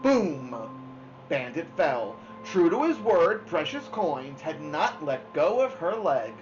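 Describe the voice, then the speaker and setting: A woman reading a children's novel aloud expressively, with dramatic pauses. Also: low-pitched, sing-song. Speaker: storybook reader. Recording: dull lo-fi sound, music behind. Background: music.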